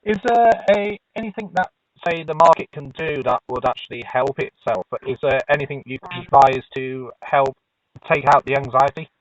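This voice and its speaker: A man's voice of middling pitch comes through very thin and phone-like, with little room sound. He is an interviewer, feeling his way through each question and rewording it as he goes. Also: moderate pace, dry recording.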